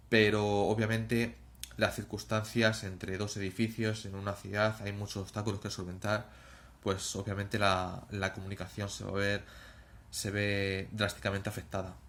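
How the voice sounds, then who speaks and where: Spanish guy from spain, lowish voice, doing an informal how-it-works explainer, kinda teachery with little check-in questions. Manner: didactic, checking in with short tag questions. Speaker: presenter of an emergency preparedness channel.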